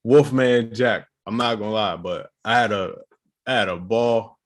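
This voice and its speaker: A man's voice: fairly low pitch, steady tone, a little slow. A man co-hosting a podcast, chatting casually in slangy, laid-back banter. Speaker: podcast co-host. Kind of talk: casual chat.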